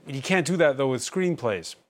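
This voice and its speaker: A man's voice: medium pitch, expressive, normal speed. A man hosting an interview, asking probing questions with frequent hesitations and filler sounds. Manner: probing, hesitant with many uhs and ums. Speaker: interviewer host.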